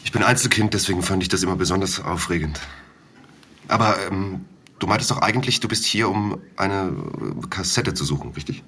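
A man's voice: sits low, brisk, bright, forceful tone, a little hiss or hum. An actor in his thirties playing a hesitant village man and father of two, talking with fillers and small slips. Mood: recalling. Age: 30s. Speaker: villager and witness. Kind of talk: audio drama acting.

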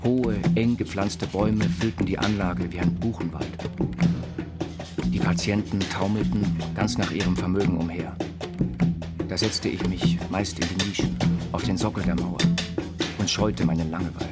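Actor as a low-voiced earnest inventor, over music. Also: male voice, steady tone, moderate pace, close to an everyday voice. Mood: solemn recollection. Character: earnest dreamy institutionalised inventor reading his writings. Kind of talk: scripted literary reading over music.

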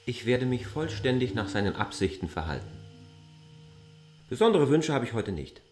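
An actor playing a formal, self-assured newcomer in a literary radio drama, with a husky, deliberate delivery. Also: male voice, medium pitch, big pitch swings, a little mellow. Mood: formal, composed. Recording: dry studio with faint music.

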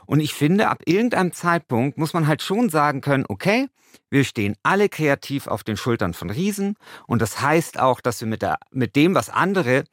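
A man's voice: a little high, sing-song, moderate pace, dry recording, studio quality. A man co-hosting a podcast, talking in a colloquial, argumentative way. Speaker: podcast co-host and radio play author. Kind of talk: casual debate and informal narration.

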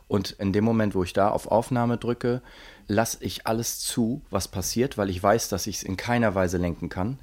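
A man talking in an interview, explaining things in a reflective, conversational way. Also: low voice. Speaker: musician and radio play maker being interviewed.

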